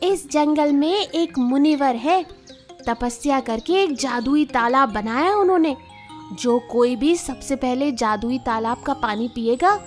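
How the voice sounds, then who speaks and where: A woman voicing a clever, sly fox with a coaxing manner in a children's animal story. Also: sits high.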